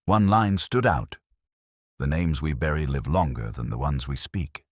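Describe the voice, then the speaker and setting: A man reading a scripted first-person detective story as its narrator, in a measured, reflective, literary manner. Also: rumbling low, expressive, slightly rough, no echo, studio quality.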